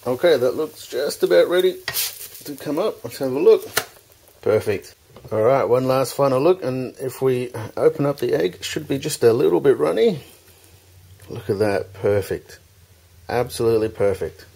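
A man in his thirties hosts a casual home cooking tutorial. His voice sits at an ordinary middle pitch and his delivery is informal and chatty. The kitchen recording has clear background noise. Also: lively intonation, moderate pace, warm tone. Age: 30s. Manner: informal, addresses viewers as guys. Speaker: cooking show host.

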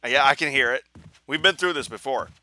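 A young man commenting on a video game as he plays, joking and mocking himself, with a hoarse voice that he says is going. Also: mid-range voice, a little fast, low-quality recording. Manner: joking, self-mocking, animated. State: hoarse, losing his voice. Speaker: gaming channel host. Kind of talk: casual let's play commentary.

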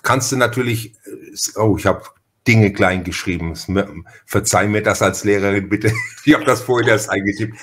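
Low-voiced Franconian man, rambling podcast host. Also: normal speed, a bit bright. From Franconia, Germany. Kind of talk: casual conversational interview.

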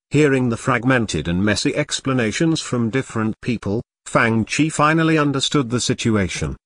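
Male narrator for scripted fiction audiobook, mid-range pitch, dry recording. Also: no room sound.

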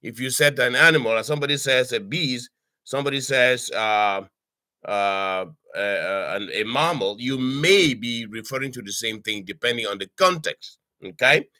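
Bright-voiced man teaching, hesitant and halting. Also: mid-range voice, dry recording. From Cameroon. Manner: halting, many "uh"s and restarts, frequent "okay?" check-ins. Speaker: language tutor. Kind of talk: informal online language lesson. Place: home study with bookshelves. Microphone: webcam on a video call.

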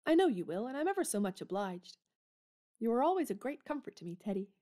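A blunt, tomboyish young woman in a radio play. Her mellow voice rises and falls freely. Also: fairly high pitch, very animated, fast talker. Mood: melancholy.

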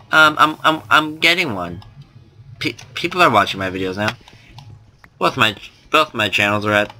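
A man's voice: medium pitch, pushed and bright. A young man with a slight lisp giving casual gameplay commentary, rambling with lots of fillers and restarts. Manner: rambling, self-deprecating, frequent fillers and restarts.

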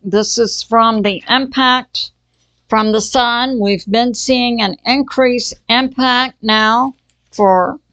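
Slow-talking woman with a higher voice. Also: a bit bright, no room sound. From Texas. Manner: slow, halting, with many fillers and restarts. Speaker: channel host. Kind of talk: casual news commentary.

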